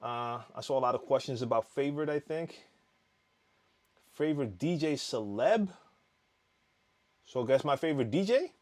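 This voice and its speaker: A man hosts a laid-back live stream and answers viewers' questions. He talks in an easy, rambling way with plenty of "um"s and false starts. His voice sits at an ordinary middle pitch for a man. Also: normal speed, long pauses. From Netherlands. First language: Dutch. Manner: relaxed, chatty, reading viewer comments aloud with frequent fillers and restarts. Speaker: DJ hosting a live Q&A.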